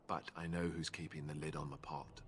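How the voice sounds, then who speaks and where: A man voicing an earnest, self-deprecating young knightly warrior in a video game, explaining things in a measured way. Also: extremely low pitch, little pitch movement, breathy edge, in a large hall. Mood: candid, modest.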